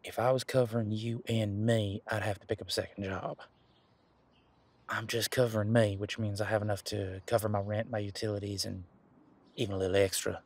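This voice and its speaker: A man's voice: fairly low pitch, level tone, brisk, gravelly. A man playing an easygoing, protective werewolf in an audio roleplay, talking in an informal, frank and chatty way. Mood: earnest, reassuring. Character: easygoing, protective male werewolf, imposing but responsible. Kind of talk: casual conversational audio roleplay monologue.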